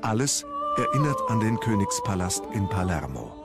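Male documentary narrator, scripted delivery, fairly low pitch, clear music bed. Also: very animated, normal speed.

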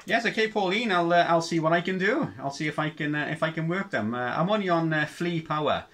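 A man from South Wales talks as an amateur radio operator. His voice is a little high and sharply bright, and he courteously repeats call signs and key phrases so they are understood. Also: brisk.

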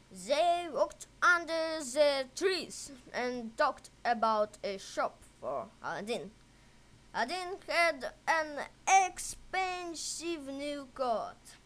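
A woman reading a fairy tale expressively and deliberately for children and English learners. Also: high voice, fairly bright tone. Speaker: storyteller, English teacher.

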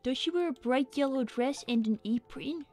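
A woman's voice: sits high, moderate pace, darkish tone, close to an everyday voice. A woman voicing a young, brainy cartoon fox boy who chats in a sassy, self-assured way as a gaming livestream host. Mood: teasing. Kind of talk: in-character gaming livestream chat. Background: game music.

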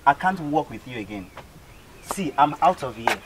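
A man's voice: on the high side, animated, slight background noise, natural voice, poor audio. A man playing a stern company boss. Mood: indignant. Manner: measured, then indignant and scolding. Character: stern middle-aged male company CEO. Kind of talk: amateur skit acting.

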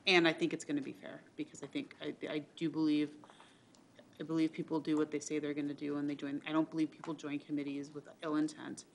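A woman's voice: low voice, flat delivery, quick pace, gravelly. A woman board member deliberating earnestly and emphatically at a public meeting. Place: public meeting room, indoors. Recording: noisy meeting room recording.

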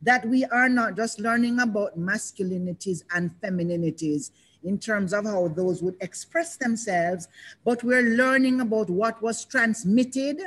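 An older woman leads a panel discussion over a video call. Her voice sits at a middle pitch, and she speaks in a reflective, measured way, pausing and restarting as she shapes each thought. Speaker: panel moderator. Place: indoors, in front of a banner.

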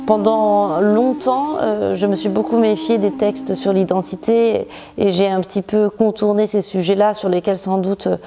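A woman novelist from Morocco, seated in a bright living room, talking thoughtfully about her writing with frequent restarts and pauses. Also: mid-range voice, fairly even intonation, over music. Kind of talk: reflective interview monologue.

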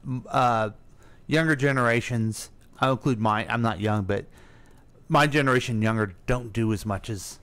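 A man's voice: mid-range voice, moderate pace, a bit bright. A middle-aged American man co-hosting a radio show, asking questions in casual conversation.

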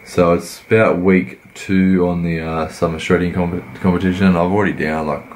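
A man, croaky from a cold, giving laid-back, rambling voice-over commentary on his workout. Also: sits low, little pitch movement, moderate pace, a little mellow, a little hiss or hum. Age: 20s. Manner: laid-back, rambling, many fillers and restarts. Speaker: fitness vlogger.

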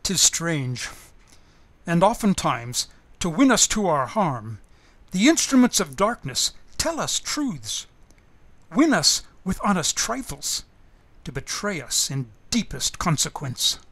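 Man acting a high-voiced, wary noble soldier. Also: sing-song, slow pace, a bit bright, no room sound, heavily acted. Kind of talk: Shakespearean radio drama acting.

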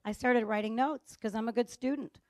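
A woman with a Chicago accent giving a humorous conference talk on gender differences, telling stories and acting out dialogue with lively delivery. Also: a little high, normal speed, no echo, clean studio sound. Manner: lively storytelling with acted-out dialogue. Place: conference stage before an audience.

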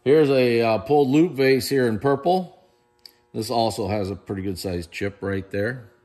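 Middle-aged male auctioneer, casual and enthusiastic, mid-pitched voice, camera mic in a big warehouse room. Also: normal speed, mellow. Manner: enthusiastic, chatty, praises pieces. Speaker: auctioneer presenting lots. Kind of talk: casual walkthrough of auction items. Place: large indoor warehouse room.

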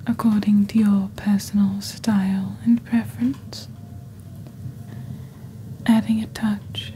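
A young woman presenting products in a soft, breathy, near-whispered ASMR delivery, deliberate and scripted, close to the microphone. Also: medium pitch, poor audio. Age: young adult. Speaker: ASMR host playing a shopping channel presenter.

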